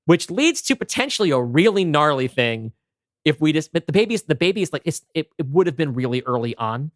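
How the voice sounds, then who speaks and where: A man's voice: a little high, very animated, fairly quick, no echo, studio quality. A man co-hosting a podcast in casual creative discussion, emphatic and often restarting his sentences. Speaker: podcast co-host.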